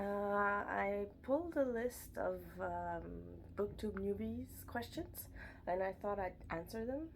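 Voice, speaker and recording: A woman's voice: medium pitch, a little hiss or hum. A young woman from Montreal with a Quebec French accent, chatting casually to camera about books, sounding nasal from a blocked nose. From Montreal, Canada. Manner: chatty, rambling, self-deprecating. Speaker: BookTube vlogger. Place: at home, indoors.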